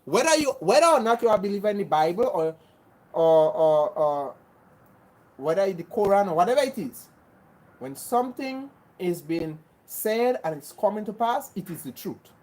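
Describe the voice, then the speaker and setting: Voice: mid-range voice, expressive, normal speed, darkish tone, low-quality recording. A livestream host from Grenada, talking earnestly to viewers. Often repeats and restarts phrases and speaks in an insistent, preachy way. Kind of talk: casual livestream chat answering viewers.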